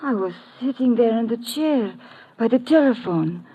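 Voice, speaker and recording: A woman's voice: on the high side, animated, moderate pace, slightly rough, dry recording. An actress playing a guarded, hesitant young woman in an old radio drama. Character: dazed, guarded young foreign lady. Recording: muffled, tinny old radio recording.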